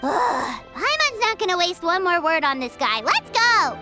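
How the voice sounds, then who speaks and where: A woman's voice: extremely high pitch, big pitch swings, measured pace, full character voice. A woman voicing a tiny, childlike, cheeky and blunt fairy girl in a video game. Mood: fed up. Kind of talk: animated video game acting. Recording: loud game music behind.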